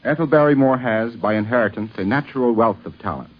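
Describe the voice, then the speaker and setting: A man speaking a measured, formal tribute in articulate, literary phrases. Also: mid-range voice, lo-fi sound.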